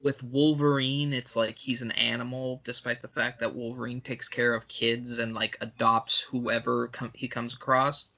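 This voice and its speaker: A man speaks with a bright, forceful edge at an average pitch, arguing bluntly and swearing freely as he co-hosts a casual film podcast. The recording is slightly dull and lo-fi. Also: flat delivery, moderate pace, creaky, hardly any pauses. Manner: blunt, profane, opinionated. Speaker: podcast co-host.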